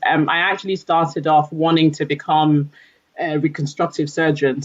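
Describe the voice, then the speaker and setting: A woman from Nigeria talking earnestly about her background and career in a podcast conversation, with frequent fillers. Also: sits low. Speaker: environmental lead at a company. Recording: dull lo-fi audio.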